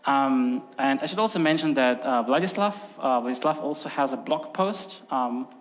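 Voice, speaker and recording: A man's voice: mid-range voice, normal speed. A middle-aged man whose first language is Russian, giving a casual, filler-heavy technical talk at a conference. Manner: casual and chatty, many fillers like "like" and "um". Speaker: conference speaker. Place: large conference hall. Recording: long hall reverb.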